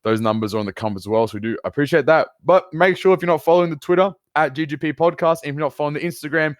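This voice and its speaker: A man hosting a casual podcast, energetic and slangy, laughing often as he talks over an online call. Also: on the high side, racing, dry recording, studio quality. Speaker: podcast host. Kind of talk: casual chat.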